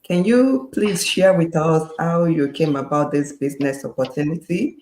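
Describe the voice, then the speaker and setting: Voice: mid-range voice, moderate pace, a little mellow, reverberant, poor audio. A co-host politely asking the guest coach a question, restarting a phrase now and then. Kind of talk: interview questions.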